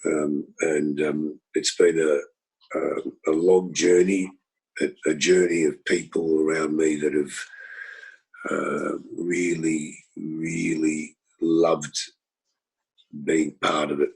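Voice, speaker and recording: A husky-voiced man reminiscing in a rambling conversational way, with many pauses and fillers. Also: extremely low pitch, little pitch movement, a little mellow, poor audio. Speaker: record label executive.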